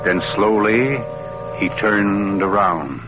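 Suspenseful storyteller, low and deliberate, old radio drama with music underneath. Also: male voice. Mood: grave, suspenseful. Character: grave storyteller building dread. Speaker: narrator. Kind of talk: dramatic scripted narration, 1940s radio acting. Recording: muffled 1940s radio recording, music behind.

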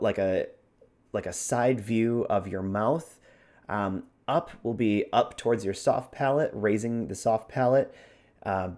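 A man with a fairly low voice speaks as a podcast co-host. He explains technique in a conversational, instructive style. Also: darkish tone. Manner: demonstrates points with his own voice. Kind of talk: explanatory podcast talk on voice acting.

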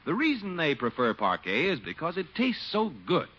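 A man's voice: on the high side, huge pitch swings, normal speed, bright, forceful tone. A man announcing in a polished, emphatic 1950s radio sales style, reading from a script. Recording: dull, husky-sounding old radio recording.

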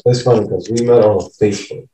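A man's voice: on the low side, fairly even intonation, fast talker, reverberant, recorded from a distance, crowd noise, low-quality recording. A man from the Bronx with a New York accent, an easygoing guest who laughs and talks in slang. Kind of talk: casual chat.

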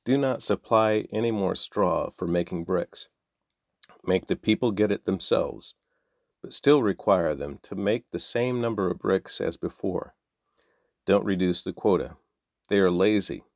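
A man's voice: deep voice, a little slow, creaky, no room sound, studio quality. A man voicing a stern, scornful ancient king who gives curt, dismissive orders.

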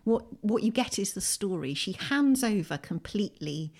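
A woman's voice: mid-range voice, expressive, moderate pace, a little mellow. A woman with an English accent talking thoughtfully and articulately as an interview guest, making her points with emphasis. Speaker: podcast guest, poet and writer. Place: at home, indoors.

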